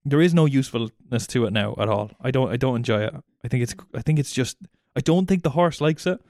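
A man's voice: mid-range voice, fast, darkish tone, dry recording, clean studio sound. An Irish man chatting casually as a podcast co-host, blunt and sweary, grumbling jokingly in loose banter. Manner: blunt, sweary, jokey complaining.

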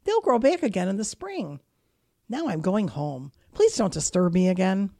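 A woman's voice: medium pitch, sing-song, normal speed, dark tone, no room sound. A woman voicing a patient storybook bird who explains things to a squirrel, on a dry close mic.

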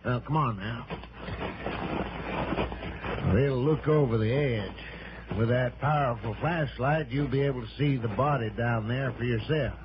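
An actor playing a folksy, confident American desert local who explains things plainly in a 1960s radio drama. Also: male voice, mid-range voice, a little slow, pauses often, natural voice. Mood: urgent, directing. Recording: muffled, very noisy vintage radio broadcast.

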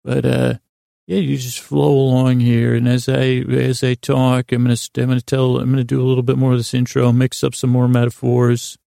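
Creaky-voiced, mellow man rambling gently. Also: medium pitch, monotone, no echo, clean studio sound. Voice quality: creaky, gravelly. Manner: meandering, halting, repeats and restarts phrases; gentle, lulling, soothing. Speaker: host of a bedtime sleep podcast. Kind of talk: rambling, improvised bedtime storytelling.

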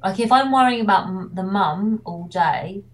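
A woman's voice: mid-range voice, moderate pace. A woman from England, a wedding photographer, talking casually in an interview and rambling with many restarts.

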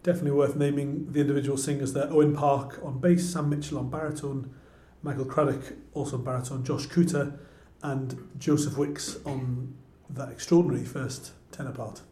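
A man's voice: medium pitch, flat delivery, fairly quick, few pauses, warm tone. A man co-hosting a podcast, explaining musical background in a hesitant, casual way. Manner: hesitant, explanatory, many restarts. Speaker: podcast co-host. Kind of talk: casual chat about choral music. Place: office room. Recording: echoey room.

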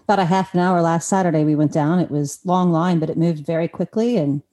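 A woman's voice: fairly low pitch, a little fast, dark tone. Upbeat woman co-host with brief interjections. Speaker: co-host and show announcer. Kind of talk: casual podcast chat.

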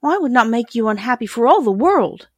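A woman's voice: a little high, sing-song, fairly quick, breathy edge, dry recording, voice pushed up. A woman plays a kind young girl in a storybook. Her voice is raised and girlish, and she talks in a polite, earnest way. It sounds close and dry.

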